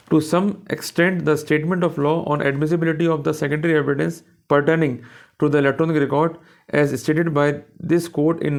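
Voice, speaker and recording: A man with an Indian accent lecturing on law in a didactic way, reading passages out and explaining them. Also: fairly high pitch. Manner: didactic, checks understanding with short questions; reads English passages slowly and deliberately, explains quickly in Hindi.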